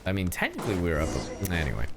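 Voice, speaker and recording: A man's voice: low-pitched, huge pitch swings, rapid-fire, background noise. A young man giving casual live reactions as a game streamer, slangy and joking, with rambling asides. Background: game music.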